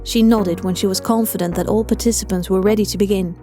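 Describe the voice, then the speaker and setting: A woman's voice: medium pitch, steady tone, quick pace, warm tone. A woman reading scripted audio fiction as a story narrator, with music behind her.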